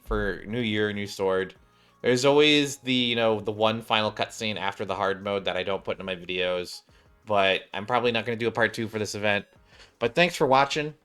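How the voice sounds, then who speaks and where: Low-voiced bright male game streamer. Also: smooth. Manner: rambling, thinking aloud. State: voice slightly off, recovering. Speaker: gaming streamer, host. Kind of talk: casual game commentary, reading skills aloud.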